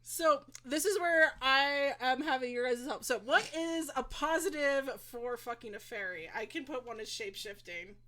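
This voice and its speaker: A woman with a high voice chats loosely on a podcast, rambling through her points with blunt jokes. She laughs easily and restarts her sentences. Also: normal speed, few pauses, sharp tone. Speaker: co-host presenting the topic. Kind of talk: casual podcast chat.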